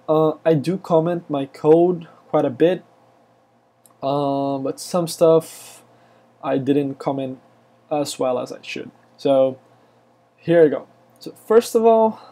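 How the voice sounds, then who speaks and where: Slow, mellow Brazilian-accented man. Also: a little high. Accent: Brazilian Portuguese. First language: Portuguese. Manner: halting, many fillers and restarts. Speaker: web developer. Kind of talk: screencast tutorial.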